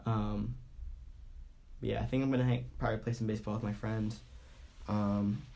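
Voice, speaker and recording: A man from the Boston area co-hosting a podcast in casual chat, congested from a cold, talking in halting bursts with lots of restarts and fillers. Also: lowish voice, little pitch movement, creaky, warm tone. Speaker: podcast co-host.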